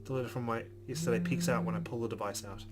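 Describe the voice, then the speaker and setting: A man's voice: medium pitch, fairly even intonation, quick pace. A man reading a visual novel aloud as a let's play host, with casual asides. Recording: clear game music behind.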